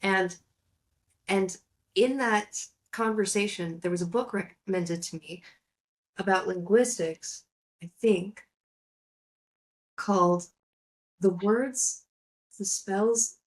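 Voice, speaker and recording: A woman's voice: medium pitch, slow, pauses often, low-quality recording. A woman co-hosting a casual chat about films, thinking aloud in an informal way. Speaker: podcast co-host.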